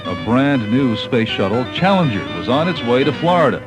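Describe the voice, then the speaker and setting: A man's voice: medium pitch, very animated, normal speed. American man narrating a documentary over music. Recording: 1980s cassette tape, music behind. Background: background music.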